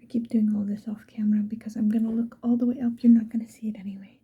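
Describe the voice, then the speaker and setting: A woman whispering casually and playfully to the viewer in a chatty ASMR get-ready-with-me style, mostly in a breathy, unvoiced whisper. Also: on the high side, level tone, quick pace, narrow, tinny audio. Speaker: ASMR video creator.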